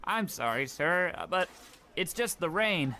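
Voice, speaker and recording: A man's voice: high-pitched, very animated, unhurried, pushed and bright, slight background noise, exaggerated voice. A man playing a meek, dutiful, apologetic employee in a radio comedy play. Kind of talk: old-time radio comedy acting.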